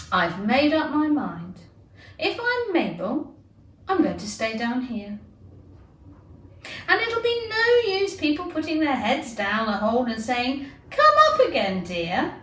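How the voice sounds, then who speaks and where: A woman's voice: high voice, a bit bright, reverberant, distant mic, voice pushed up, exaggerated voice. A woman reading a storybook as a tearful, fretful little girl who talks to herself in a plaintive, dramatic way.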